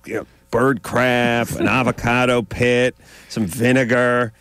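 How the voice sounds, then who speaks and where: A man's voice: medium pitch, little pitch movement, slow, a bit bright, clean tone, no room sound. A middle-aged man hosting a radio call-in show, riffing sarcastically with callers and co-hosts on a studio mic. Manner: sarcastic, riffing with comic tangents. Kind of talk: casual radio banter with callers. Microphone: studio microphone.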